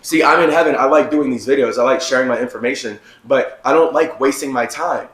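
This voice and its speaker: A man with a raspy, strained voice that is giving out, delivering a heated, ranting monologue as a vlogger. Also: medium pitch, fairly quick, a bit bright, roomy sound. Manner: heated, ranting, with run-on sentences. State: losing his voice. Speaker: vlogger host. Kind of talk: agitated monologue to camera. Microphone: phone.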